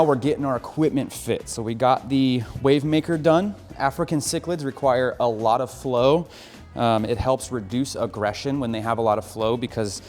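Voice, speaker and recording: A man's voice: mid-range voice, in a large hall. Upbeat American man coaching an aquascape build. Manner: upbeat, coaching, explains step by step. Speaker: aquarium hobbyist host. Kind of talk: casual instructional vlog.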